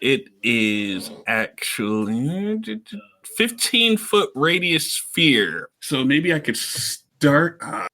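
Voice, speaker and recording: Male gamer, middling pitch, slow and playful, reasoning out loud in casual table talk. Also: sing-song. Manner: playful, thinking aloud. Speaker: player in a tabletop roleplaying game.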